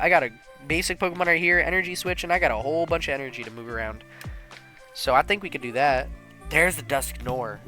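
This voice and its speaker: A young man with a slightly sniffly nose from allergies, casually thinking out loud and commentating his own online card game, with slang and sudden excited laughs. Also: fairly high pitch.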